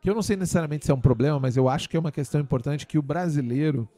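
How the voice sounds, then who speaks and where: Brazilian male podcast host, mellow, loose chatty delivery. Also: a little high, very fast. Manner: chatty, jokey, asks long winding questions. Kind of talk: casual podcast interview. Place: studio.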